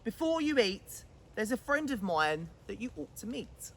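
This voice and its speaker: A woman voicing a small, quick-witted, confident mouse in a children's storybook. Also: fairly high pitch, sing-song, heavily acted, low-quality recording. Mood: cunning.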